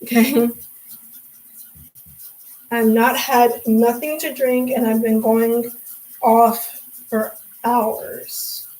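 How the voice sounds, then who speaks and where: A young woman hosting a casual livestream chat, talking in a sassy, chatty way, her voice hoarse and raspy from hours of talking. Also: a little high, fairly even intonation, drawn-out words, pauses often, mellow, poor audio. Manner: sassy, chatty, calls viewers sweetie and honey. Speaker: livestream host.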